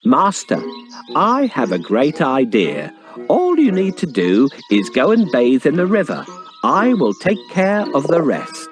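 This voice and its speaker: A woman voicing a clever, eager male talking cat in a children's storybook, in an expressive, theatrical way. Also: deep voice, measured pace, over music, heavily acted. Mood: confident, scheming. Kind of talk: children's storybook character acting.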